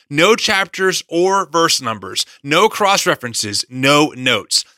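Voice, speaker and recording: A man's voice: fairly high pitch, pushed and bright, no echo, clean studio sound. A man hosting a podcast in an upbeat, conversational way, casually introducing and interviewing. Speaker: podcast host.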